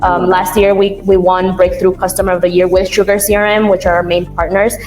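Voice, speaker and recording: A woman's voice: fairly low pitch, little pitch movement, fairly quick, darkish tone. Young woman from Ecuador, echoey room. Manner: informal, chatty. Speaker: interview guest, CRM manager. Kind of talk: casual interview answers. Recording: echoey room, music behind.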